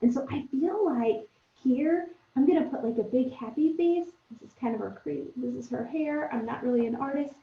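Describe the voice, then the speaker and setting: High-voiced woman teacher in an echoey room. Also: moderate pace, few pauses. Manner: conversational, expressive teacher talk addressed to young pupils. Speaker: elementary teacher. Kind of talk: read-aloud lesson for children, thinking aloud. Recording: roomy, echoing, dull lo-fi sound.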